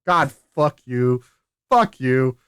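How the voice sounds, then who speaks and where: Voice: mid-range voice, animated, drawn-out words, a bit bright, clear, resonant tone, no room sound. A person doing casual playthrough commentary on a video game, reading character dialogue aloud. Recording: game music behind the voice.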